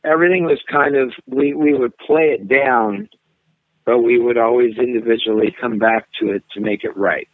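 A man's voice: mid-range voice, fairly even intonation, normal speed, no echo. A middle-aged man, a singer, talks casually in an interview, hesitating and restarting often. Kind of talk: casual interview over a phone line. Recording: dry tinny phone line.

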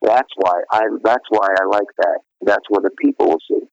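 A middle-aged man talks casually about his singing, rambling and circling back with "you know" after nearly every phrase. His voice sits fairly low. The sound is dry and a little dull, like a lo-fi radio recording. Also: moderate pace, dry recording. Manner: rambling, full of "you know" fillers and restarts. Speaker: singer being interviewed. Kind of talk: casual interview chat.

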